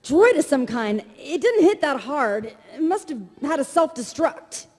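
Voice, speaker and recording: An actor playing a brisk, cocky male space-adventure hero in a live parody reading, with an animated delivery. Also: very high voice, heavily acted. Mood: wary. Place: live stage reading at a convention hall. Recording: echoey convention hall reverb.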